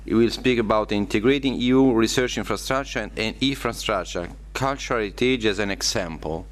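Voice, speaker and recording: A man with a fairly low voice moderates a seminar session. He thanks the last speaker and introduces the next one, hesitating over his words. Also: brisk.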